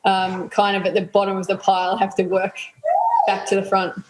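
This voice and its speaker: A relaxed Australian woman in her thirties answers interview questions casually, trailing off with ums and yeahs. Her voice sits at a middling pitch and carries a noticeable room echo. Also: normal speed, a little hiss or hum. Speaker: athlete guest.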